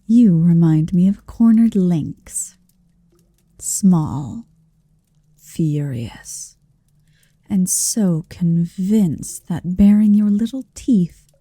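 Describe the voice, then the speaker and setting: A very slow, very mellow dragoness acted by a woman murmurs in a breathy, often whispered ASMR roleplay voice with some creak. She is huge, possessive and domineering, teasing with deliberate menace. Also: lowish voice, no room sound, studio quality, heavily acted. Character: towering possessive female dragon in human form.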